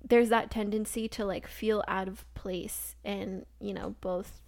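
A woman's voice: mid-range voice, steady tone, measured pace, a little mellow, no echo. A young American woman in casual chat, rambling and filling her talk with "like.". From United States. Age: young adult. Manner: rambling, heavy use of "like".

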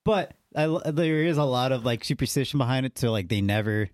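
A man's voice: mid-range voice, animated, fairly quick, no room sound, studio quality. A man co-hosting a casual podcast, rambling with many filler words and casual swearing. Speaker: podcast co-host. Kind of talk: casual chat.

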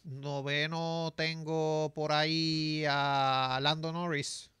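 A man co-hosting a casual talk, relaxed and hesitant. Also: fairly high pitch, very slow, smooth, no echo, clean studio sound. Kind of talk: casual chat.

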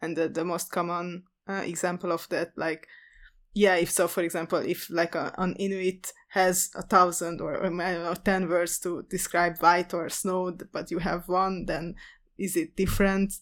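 A woman talking in an academic discussion, chatty and quick to restart her sentences. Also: on the low side, flat delivery, a bit bright. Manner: chatty, many fillers and restarts. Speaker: podcast co-host.

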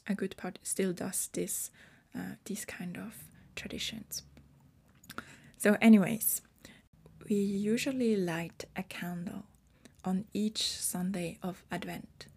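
A woman's voice: medium pitch, steady tone, takes it slowly, creaky, a little mellow, husky. A woman in her twenties hosting her own podcast, explaining things carefully and correcting her wording as she goes. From Switzerland. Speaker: podcast host.

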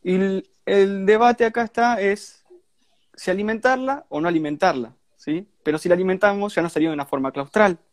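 A man's voice: high voice, a little fast, no room sound, studio quality. A seventeen-year-old young man from Argentina with an Argentine accent, casually explaining his hobby as a guest on a live chat. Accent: Argentine Spanish. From Santa Fe, Argentina. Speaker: guest hobbyist ant keeper.

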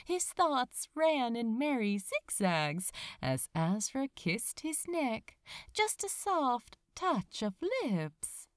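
Woman, story narrator, highly animated intonation, slow pace, scripted storytelling. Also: clean tone, dry recording, studio quality. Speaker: audiobook-style narrator of fan fiction.

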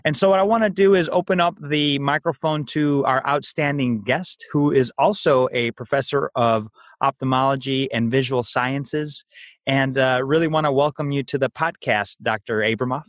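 A man's voice: mid-range voice, steady tone, moderate pace, no room sound, clean studio sound. A man hosting a health podcast, reading a promo and introducing a guest in an upbeat, promotional way. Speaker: podcast host.

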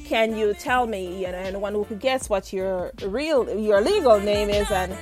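A woman's voice: mid-range voice, normal speed, darkish tone, faint music behind. A woman hosting an interview show. She gushes with praise and laughs often. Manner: effusive, gushing with praise and frequent laughter. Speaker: radio show host. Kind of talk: lively online interview.

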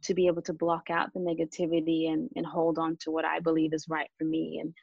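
A woman from Japan and Australia, a native Japanese speaker, talking earnestly and reflectively as an interview guest, in a calm, hesitant way. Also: low-pitched, quick pace, gravelly, husky, dry recording, dull audio.

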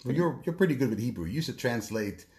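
A man from the Netherlands hosting a casual podcast discussion, talking in a somewhat halting, hesitant way. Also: mid-range voice, animated, vocal fry. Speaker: podcast host.

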